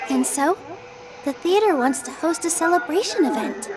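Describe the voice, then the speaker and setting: A woman voicing a kind, graceful young theater dancer in a video game, speaking gently and courteously. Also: extremely high pitch, expressive, moderate pace, noisy background. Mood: friendly, explaining. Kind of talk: scripted video game dialogue.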